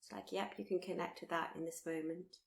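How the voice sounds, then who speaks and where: Even-toned woman guiding a meditation. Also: on the low side, vocal fry. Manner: soothing, unhurried, gently encouraging with repeated phrases. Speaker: meditation teacher leading a body scan. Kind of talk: guided meditation. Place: live workshop.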